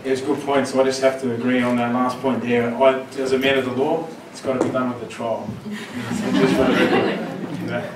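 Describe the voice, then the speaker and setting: A man's voice: mid-range voice, moderate pace, hardly any pauses. A man in his thirties born in Syria, standing with a handheld mic as a panel speaker, talking conversationally with many fillers and then with growing force. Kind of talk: panel answer at a conference. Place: large lecture room, standing before the panel. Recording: roomy echo, distant camera, audible room noise.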